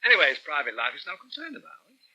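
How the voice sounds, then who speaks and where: An actor playing a blunt, breezy British businessman who chaffs his partner in a radio soap, husky-voiced, on a poor old recording. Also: fairly high pitch, huge pitch swings, quick pace, sharp tone, dull audio, full character voice. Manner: chaffing, colloquial.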